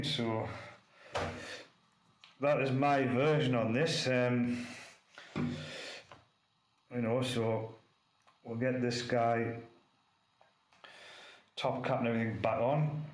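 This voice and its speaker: A man with a chesty cold, casually demonstrating vape gear and rambling with lots of fillers. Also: mid-range voice. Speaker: vape reviewer. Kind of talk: casual hands-on product demo. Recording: echoey room with faint noise.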